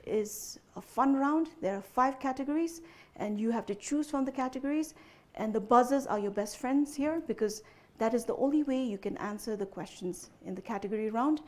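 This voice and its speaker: A young adult woman hosts a quiz, laying out the rounds and scoring for the teams. Her voice sits a little high. She talks casually, sprinkling in "you know" and stopping to ask whether everyone is clear. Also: a little mellow. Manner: chatty, frequent "you know" fillers, checks understanding with "okay?". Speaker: quizmaster. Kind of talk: explaining quiz rules to contestants.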